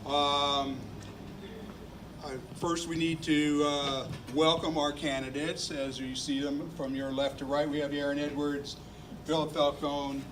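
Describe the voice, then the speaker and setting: A man's voice: a little high, level tone, measured pace. A man making announcements at a neighborhood forum, talking plainly and steadily with some fillers, in a community meeting room. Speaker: neighborhood liaison introducing a candidate forum. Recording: distant room recording, faint noise.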